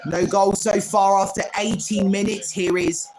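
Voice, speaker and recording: A man's voice: high-pitched, little pitch movement, measured pace, a little mellow, faint music behind, rough recording. A man hosting a live football watchalong stream, calling each pass by player name and chatting with viewers between plays. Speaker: livestream host.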